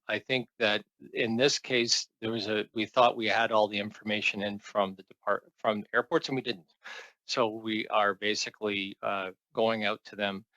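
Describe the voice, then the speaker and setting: Male senior official answering committee questions; low-pitched, bright, formal and diplomatic, halting with repeated words. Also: poor audio. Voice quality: hesitant delivery, frequent stumbles and repeated words. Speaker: senior transport department official.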